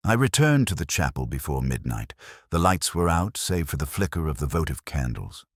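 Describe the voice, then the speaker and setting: A brooding, cerebral detective voiced by a man recounts his case in an extremely low voice. The delivery is measured and reflective, yet the pitch moves expressively through each phrase. The sound is dry and close, suited to a scripted audio mystery. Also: very animated, no room sound, heavily acted. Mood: quietly intent. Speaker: narrator. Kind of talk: scripted first-person detective story narration.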